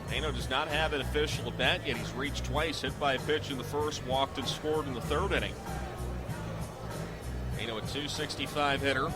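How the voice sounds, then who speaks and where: A middle-aged man calling live sports on the radio as a play-by-play announcer, in measured, clipped phrases. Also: mid-range voice, loud music behind the voice.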